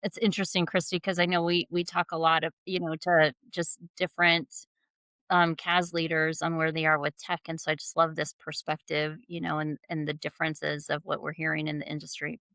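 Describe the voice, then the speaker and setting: A woman co-hosts a podcast interview, warmly affirming what her guest says. Her voice sits a little low. The sound is dry and close. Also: level tone, fairly quick, dry recording, rough recording. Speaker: podcast co-host.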